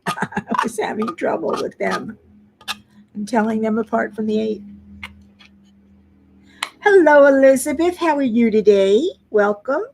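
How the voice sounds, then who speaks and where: A middle-aged woman with a low voice, tired from lack of sleep, chatting casually to viewers as host of a crafting livestream. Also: darkish tone, slight background noise. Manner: chatty, muttering while searching for pieces. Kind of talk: casual live chat while diamond painting.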